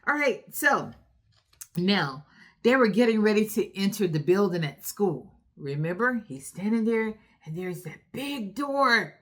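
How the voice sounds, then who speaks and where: Slow-talking woman hosting children's storytime. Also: mid-range voice, very animated. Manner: slow, instructive, questioning the young listeners; encouraging. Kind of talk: read-aloud story recap for young children.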